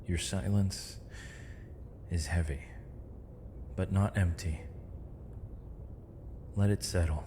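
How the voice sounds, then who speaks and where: Man acting as deep, slow-spoken imprisoned mage. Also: fairly even intonation, noisy background. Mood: calm, philosophical. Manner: measured, formal, poetic phrasing with thoughtful pauses. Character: gentle, weary, eloquent imprisoned male mage, misunderstood villain. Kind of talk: ASMR roleplay, intimate dramatic monologue. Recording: long reverb, faint night insect ambience.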